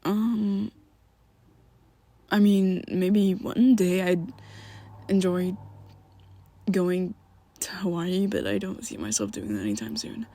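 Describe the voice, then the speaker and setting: A woman's voice: fairly low pitch, animated, slow pace, long pauses, mellow, dry recording. A woman voicing a young male vampire best friend in an ASMR roleplay, who talks hesitantly and half-whispers with nervous stammers. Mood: evasive.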